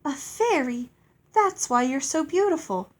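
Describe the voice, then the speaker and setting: A woman's voice: high voice, expressive, a little slow, pitched above the performer's own voice. A woman voicing an earnest, polite young girl from a storybook, courteous and pleading. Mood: awed, shy.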